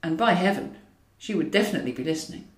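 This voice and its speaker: Female audiobook reader for comic fiction: dry irony, animated pitch movement, recorded roomy and slightly distant. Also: fast talker. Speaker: author reading own novel. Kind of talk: scripted narration of a comic novel. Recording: roomy echo, distant from mic.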